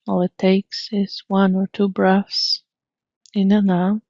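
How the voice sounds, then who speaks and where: A woman guides a mindfulness meditation, speaking very slowly and leaving long pauses between phrases. Her pitch stays flat and even as she gently instructs. The recording is dry and slightly dull. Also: dry recording.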